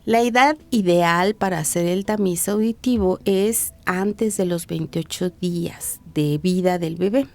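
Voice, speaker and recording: Mexican lady doctor, lowish mellow voice, calm teacherly explaining, slows down on key bits. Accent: Mexican Spanish. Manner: measured, didactic, slows down to stress points. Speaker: physician, hearing and speech specialist. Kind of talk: interview answers, explanatory.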